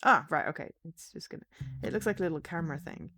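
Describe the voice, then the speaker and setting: A woman's voice: low-pitched, lively intonation, fast talker, pushed and bright. Sniffly woman streamer with a cold. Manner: chatty and hesitant, full of ums, unsure of name pronunciations. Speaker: game streamer. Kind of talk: casual livestream chat, reading a game blurb aloud.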